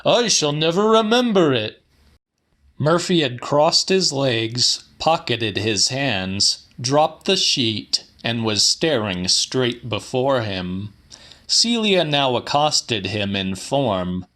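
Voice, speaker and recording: A man reading a literary audiobook in a theatrical, deliberate way, with some drama in the narration. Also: mid-range voice, sing-song, bright, forceful tone. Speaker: audiobook reader.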